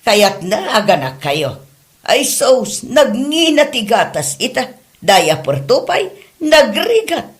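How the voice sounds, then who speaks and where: A woman's voice: on the high side, big pitch swings, takes it slowly. A woman acting as a doting but meddling, thrifty mother of a grown son in a comedy drama. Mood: grumbling.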